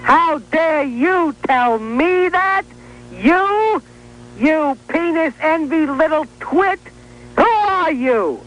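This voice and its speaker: A man rants on a radio call-in show in an extremely high voice. He is belligerent and insulting, drawing his words out at a slow pace. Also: expressive, fairly bright tone, low-quality recording.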